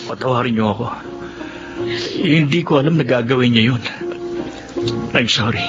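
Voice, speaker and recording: A man's voice: medium pitch, moderate pace, music in the background, lightly acted. A man playing a remorseful young husband in a Filipino radio drama. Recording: dull lo-fi audio, faint noise.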